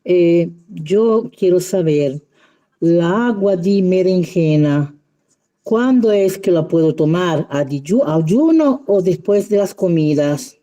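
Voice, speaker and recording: Mujer italiana, voz oscura y lenta. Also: female voice, on the low side, lively intonation, warm tone. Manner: slow, searching for words. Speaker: student asking questions. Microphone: video call.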